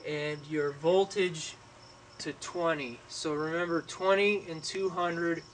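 A young man explaining welding safety and technique outdoors by a garage, pausing often with ums and restarts, recorded on a camera mic from a few steps away. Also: on the high side, steady tone, poor audio. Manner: halting, many ums and restarts. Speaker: welding instructor. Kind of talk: casual how-to demonstration. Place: outdoors at an open garage door. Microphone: camera mic from a distance. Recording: faint outdoor insect noise. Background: crickets and insects outdoors.

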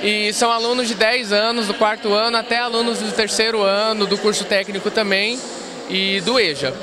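A man's voice: high voice, fairly even intonation, normal speed, music in the background. A man in his twenties with a Brazilian accent, explaining an event informally and hesitantly into a handheld microphone. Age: 20s. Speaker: fair organiser interviewee. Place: large indoor fair. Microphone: interviewer's handheld microphone. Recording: crowded hall chatter behind.

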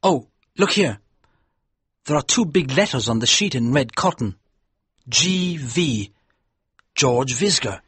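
A man's voice: medium pitch, expressive, slow, pauses often, a bit bright, husky, no room sound, pitched above the performer's own voice, exaggerated voice. A man voicing a frightened, pleading haunted man in a dramatised story. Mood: uneasy. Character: terrified, pleading haunted man, childlike with fear.

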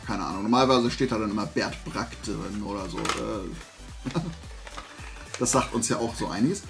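A man's voice: lowish voice, moderate pace, vocal fry, music in the background. A man chats casually to camera as a vlog host, blunt, opinionated and colloquial. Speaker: host of a collecting haul vlog. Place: small indoor room lined with collectibles.

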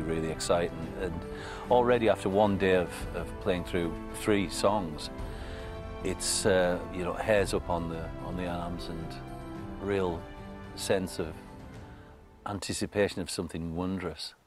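A man's voice: low-pitched, steady tone, unhurried. A man, bluntly and eagerly describing a new album in a documentary interview. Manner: enthusiastic, blunt, swears casually. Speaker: band member. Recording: music playing underneath.

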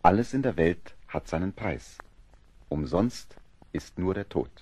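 A man narrating a seafaring adventure radio play in a grave, dramatic storytelling style, with a husky voice. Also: deep voice, animated, a little slow, a little mellow, dry recording, heavily acted.

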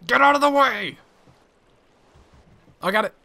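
A young man casually commenting on his own gameplay, chatty and quick to laugh at his mistakes. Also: very high voice, vocal fry, bright, forceful tone. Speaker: gaming channel host.

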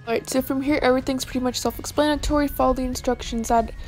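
A young woman talks over her own baking footage in a casual voice-over. Her pitch sits a little high but barely moves, so the delivery stays level and relaxed. Faint music plays in the background. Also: fast talker. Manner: casual and even, with fillers. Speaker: vlogger.

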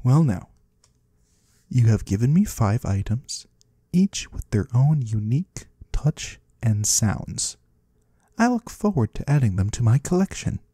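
ASMR roleplay casting: man as soothing, mysterious male trader from the void, very dark husky tone, formal and courteous. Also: medium pitch, big pitch swings, unhurried, dry recording, studio quality. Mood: curious, measured. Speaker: ASMR performer. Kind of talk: ASMR roleplay, soft-spoken.

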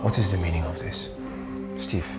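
An actor with a Nigerian accent playing a stern, possessive boss who is quick to anger, in a naturalistic screen drama. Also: male voice, deep voice, steady tone, loud music behind the voice, close to an everyday voice. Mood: suspicious.

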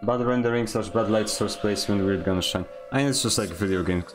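A young man from Poland streaming a game, chatting casually and joking with his viewers in quick rambling asides. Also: fairly low pitch, over music. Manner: rambling, jokey, fast asides to chat. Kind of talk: casual gaming stream chat.

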